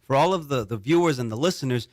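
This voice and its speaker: A man's voice: medium pitch, lively intonation, fast talker, a little mellow. A man from Mexico, a panel guest, talking earnestly and repeating words as he starts his thoughts. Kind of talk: earnest interview talk.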